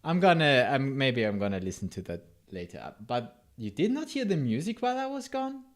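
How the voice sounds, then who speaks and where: A man's voice: mid-range voice, sing-song, normal speed. Chatty man with a German accent. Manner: rambling, self-interrupting, answers chat with asides. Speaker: live coding streamer. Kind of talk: casual live coding stream, reading chat.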